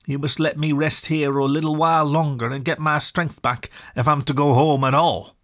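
A man's voice: a little high, level tone, moderate pace, fairly bright tone, pitched above the performer's own voice, close to an everyday voice. A man voicing a small, timid, weary male mole in a storybook, plaintive and polite. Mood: weary, apologetic. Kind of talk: storybook character voice.

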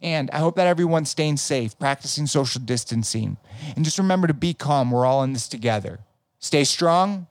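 A man's voice: mid-range voice, lively intonation, moderate pace, dry recording. A man hosting a podcast, chatting casually and upbeat with a guest, swearing now and then. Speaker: podcast host. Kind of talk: casual interview chat.

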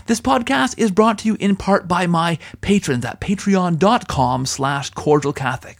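A man hosting an interview podcast, speaking in an upbeat, earnest and effusive way. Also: fairly high pitch.

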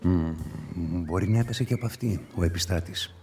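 A sceptical police inspector in a radio drama. His voice is low and somewhat mellow, musing and probing with dry irony. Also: soft background music. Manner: musing, questioning, dryly ironic. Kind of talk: radio play acting and monologue.

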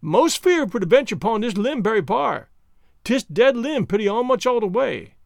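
A storybook servant, simple and obliging. His high voice rises and falls freely in folksy dialect, always literal-minded and eager to please. The sound is dry and close. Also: very animated, moderate pace, gravelly, no room sound, full character voice. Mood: worried, plaintive. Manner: folksy nonstandard dialect speech.

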